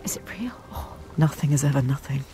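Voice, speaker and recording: A woman voicing an anxious spirit-like voice inside a warrior's head in a video game, breathy and urgent, muttering questions in fragments. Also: extremely low pitch, lively intonation, brisk. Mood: anxious questioning. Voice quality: breathy, whispery. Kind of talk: video game dramatic acting. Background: loud music.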